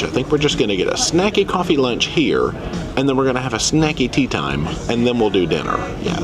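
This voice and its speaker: A man giving casual, chatty and jokey vlog commentary as he goes along. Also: mid-range voice. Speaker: travel vlogger.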